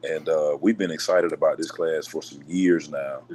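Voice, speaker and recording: Male coach, very low deep voice, measured and courteous, light background noise. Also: steady tone, darkish tone. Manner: measured, polite, says "yes, sir". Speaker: basketball coach. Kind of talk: casual radio show interview.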